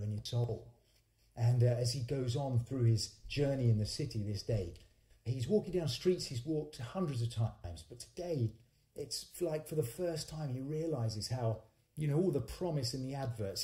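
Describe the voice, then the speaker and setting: A man's voice: medium pitch, moderate pace, warm tone. A man in his forties, a singer-songwriter hosting and telling stories casually, with hesitant conversational phrasing that restarts often. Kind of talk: casual storytelling between songs. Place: small home studio, indoors. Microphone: studio condenser microphone on a stand. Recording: roomy, echoing livestream sound.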